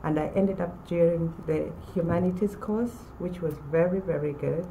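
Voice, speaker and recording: A woman in her forties from Uganda, telling her own story in a measured way with pauses. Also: fairly low pitch, dark tone, a little hiss or hum, poor audio. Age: 40s. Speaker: former college student. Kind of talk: personal testimonial to camera.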